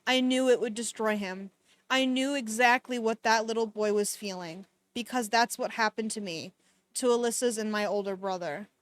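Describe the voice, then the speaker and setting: A woman reading the lines of a troubled young male doctor in a hushed, somber way. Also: sits high, moderate pace, clear, resonant tone, no echo, pitched below the performer's own voice.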